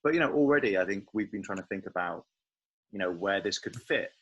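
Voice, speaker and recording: A man's voice: sits low, animated, fairly quick, husky, highs cut off. A man chatting casually as a podcast host, speculating in a tentative way. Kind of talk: casual podcast chat about a TV series.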